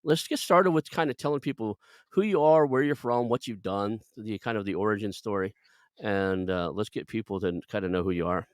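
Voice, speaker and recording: A man hosts a casual interview podcast, speaking in a halting way with frequent restarts. His voice sits fairly low and sounds dry and close to the mic. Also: no echo. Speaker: podcast host.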